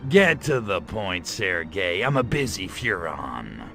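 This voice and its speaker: A man's voice: low-pitched, very animated, slow pace, music in the background, heavily acted. A man playing a cocky, wisecracking alien invader in a comedic video game, sardonic and flippant like a tough guy. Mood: impatient, wary.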